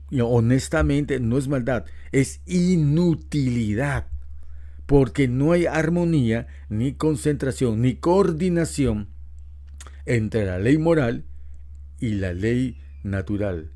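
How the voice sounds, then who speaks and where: Mellow-voiced man teaching scripture. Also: mid-range voice. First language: Spanish. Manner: explanatory, unhurried, with rhetorical questions. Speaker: preacher. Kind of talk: Bible teaching talk.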